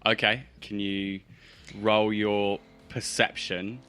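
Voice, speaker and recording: Low, bright-voiced male game master. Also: level tone, slow pace, clear, resonant tone. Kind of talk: casual game-mastering among friends.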